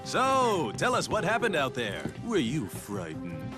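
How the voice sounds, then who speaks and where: An actor playing a flamboyant, smug racing show host in a video game, theatrical and sardonic with a sneer. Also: male voice, a little high, huge pitch swings, measured pace. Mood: mocking. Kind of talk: showy video game cutscene acting. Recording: music behind the voice.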